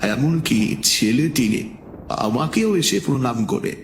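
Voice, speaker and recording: A man narrating a scripted first-person story in a measured, dramatic storytelling manner. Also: mid-range voice, very animated, noisy background.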